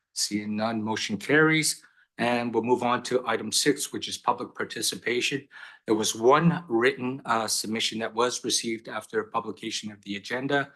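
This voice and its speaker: A man with a lowish, bright, forceful voice runs a council meeting as mayor. He moves briskly through the agenda items and procedure, adding a dry joke now and then. It sounds like a council chamber. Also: poor audio. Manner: brisk procedural chairing with light humor.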